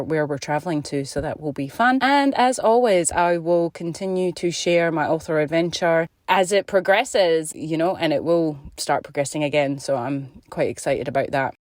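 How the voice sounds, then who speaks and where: An Australian woman hosting a podcast, slightly hoarse from a cough, rambling casually from her parked car into a phone. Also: sits low, no room sound, clean studio sound. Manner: rambling, with frequent restarts and fillers. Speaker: podcast host. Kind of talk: casual chatty update.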